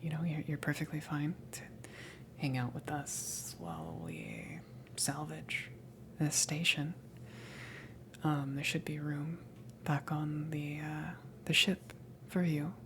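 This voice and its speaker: Casting: friendly alien salvage crewmember played by a woman, low-pitched breathy whisper, unhurried and shyly reassuring, ASMR roleplay with noisy ambience. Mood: gently reassuring. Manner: hesitant, rambling, giggly.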